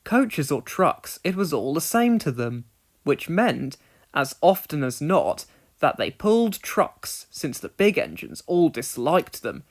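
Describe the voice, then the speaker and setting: Expressive storybook narrator, lively intonation. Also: mid-range voice, slow pace. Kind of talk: children's storybook read-along narration.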